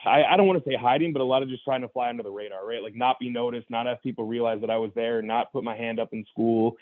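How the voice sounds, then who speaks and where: Young adult man, guest. Earnest, quick, restart-heavy storyteller on a dry, tinny phone-like line. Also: mid-range voice, fairly even intonation, no echo. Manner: earnest, reflective, full of restarts and "you know". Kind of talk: candid personal story in conversation.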